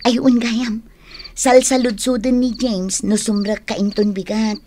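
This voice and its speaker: Actress as a high-voiced, nosy young friend. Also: female voice, level tone, measured pace, warm tone, a little hiss or hum, lightly acted. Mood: teasing. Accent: Filipino. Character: young woman office friend, nosy, teasing confidante. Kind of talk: radio drama acting.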